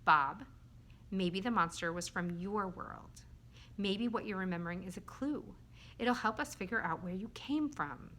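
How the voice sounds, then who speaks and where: A woman's voice: medium pitch, animated, measured pace, sharp tone, a little hiss or hum. A woman playing a curious, caring and somewhat bossy young girl in a children's story, direct and coaxing. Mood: coaxing, reassuring.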